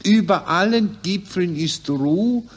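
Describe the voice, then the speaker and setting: An actor playing a proud, self-pleased amateur poet with an Austrian accent in a 1940s radio satire. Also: male voice, sits high, animated, takes it slowly, heavily acted. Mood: proud, eager.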